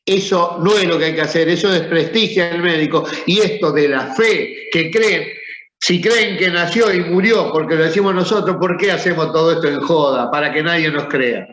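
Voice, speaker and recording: Male teacher, Rioplatense accent, higher voice, chatty and questioning, echoey classroom, muffled recording. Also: fairly bright tone. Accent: Rioplatense Spanish. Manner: conversational, asks the class questions. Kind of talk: classroom lecture. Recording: echoey room, dull sound.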